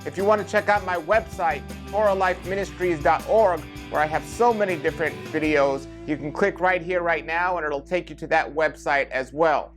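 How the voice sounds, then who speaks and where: Higher-voiced male host over faint music. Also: moderate pace, few pauses. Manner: rambling questions with fillers. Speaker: show host. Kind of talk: interview and channel outro.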